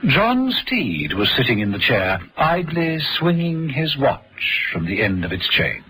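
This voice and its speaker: A man's voice: mid-range voice, very animated, a little slow, pushed and bright, a little hiss or hum, rough recording. A man reading scripted radio drama narration in a dramatic storytelling manner.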